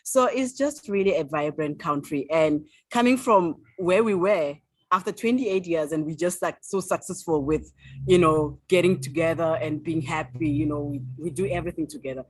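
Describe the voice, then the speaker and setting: Upbeat South African woman author, low voice. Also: normal speed, breathy edge, no echo, poor audio. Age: 20s. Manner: enthusiastic, upbeat, laughs easily. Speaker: author guest. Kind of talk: casual interview chat.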